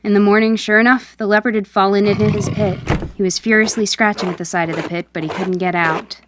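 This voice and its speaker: Measured woman narrating a storybook. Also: medium pitch, steady tone, noisy background. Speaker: host and narrator.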